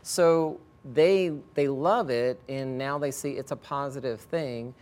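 A man's voice: medium pitch, slow, darkish tone. A man who works as a school educator, giving conversational answers as an interview guest.

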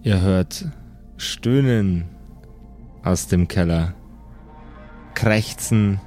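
A man with a fairly low voice leads a tabletop game as game master. He sets scenes in a measured, suspenseful way, leaving dramatic pauses, with music playing behind him. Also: dark tone.

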